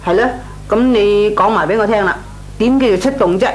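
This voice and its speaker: An actress playing a nosy, sharp-tongued middle-aged landlady in a Cantonese radio comedy, lecturing and scornful, with a Hong Kong Cantonese accent. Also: mid-range voice, a little fast, natural voice. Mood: puzzled. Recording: noisy old radio recording with hum.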